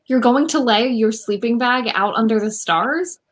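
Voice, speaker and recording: A woman's voice: a little high, moderate pace, lightly acted. A young woman playing an earnest, easily exasperated environmental superhero in a comedy radio play over a video call. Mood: indignant. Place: room at home, video call.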